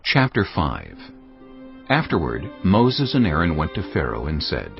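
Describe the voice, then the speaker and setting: A man narrating a dramatized Bible in a calm storytelling manner, with a husky voice. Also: extremely low pitch, a little slow, soft background music. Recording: muffled lo-fi audio.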